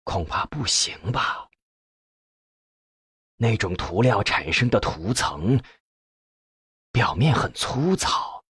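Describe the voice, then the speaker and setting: A man voicing an ailing elderly professor in an audiobook, with a weak, breathy, halting voice. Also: mid-range voice, no echo, studio quality, heavily acted. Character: ailing elderly male professor, frail, eager about research.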